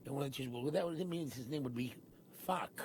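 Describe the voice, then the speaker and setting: A man casually telling a childhood story in a relaxed, conversational way. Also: medium pitch, fast, a little hiss or hum. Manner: relaxed, conversational, lots of "you know what I mean". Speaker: storyteller in a video clip. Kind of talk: casual anecdote.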